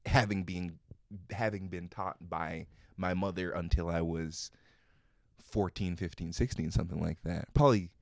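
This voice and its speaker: A young man with a very low, deep voice and crisp, deliberate enunciation. He speaks in measured, proper sentences in a relaxed podcast conversation. The sound is close and dry, with no room echo. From Minnesota, USA. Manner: formal, carefully enunciated, measured phrasing. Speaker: podcast guest, musician and producer. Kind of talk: casual podcast chat.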